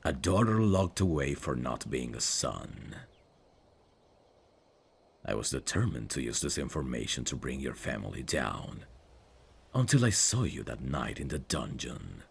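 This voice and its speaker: A man playing a brooding dark fantasy prince in an intimate ASMR roleplay, tender and lovesick, with a husky, gravelly voice and a hushed, formal delivery. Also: very deep voice, big pitch swings, heavily acted. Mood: sorrowful confession. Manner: slow, hushed, formal and halting. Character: brooding evil prince, tender and lovesick toward old friend. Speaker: voice actor.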